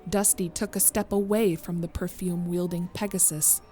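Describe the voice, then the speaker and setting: A woman's voice: fairly low pitch, fairly even intonation, moderate pace, dark tone, faint music behind. A woman narrator reading a scripted story.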